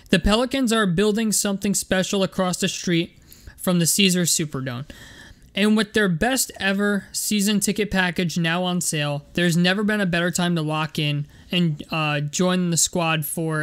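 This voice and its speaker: A young man with a voice roughened by medication, chatting casually about basketball in a sports vlog, rambling with lots of fillers and repeated words. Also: sits high, a bit bright. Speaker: sports vlogger.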